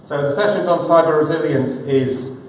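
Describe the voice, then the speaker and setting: A man's voice: on the high side, steady tone, normal speed. A man hosts a live conference session, stumbling loosely through fillers as he introduces the next speaker. He is picked up from a distance, and his voice rings around a big reverberant hall. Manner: loose, hesitant with many fillers. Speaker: conference moderator. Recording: echoey hall, distant mic.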